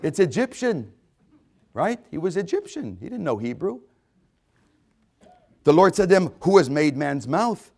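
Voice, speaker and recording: A man with a notably high voice delivers a sermon, reading scripture and then driving its meaning home. He leans hard on repeated phrases. He jumps from ringing, near-shouted exclamations down to soft, intimate asides. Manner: emphatic, repeats phrases for effect; swings between loud exclamations and hushed asides. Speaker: preacher.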